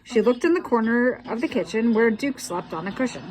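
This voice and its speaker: Expressive woman reading a picture book. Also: fairly high pitch, poor audio. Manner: slow, expressive storytelling. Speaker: children's book reader.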